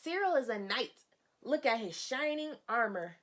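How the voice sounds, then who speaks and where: A woman with a fairly high voice reads to young children as their teacher. She is expressive and explains things in simple words, often putting questions to her listeners. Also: normal speed. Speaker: teacher reading a picture book aloud to young children. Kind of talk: read-aloud storytelling with questions to children.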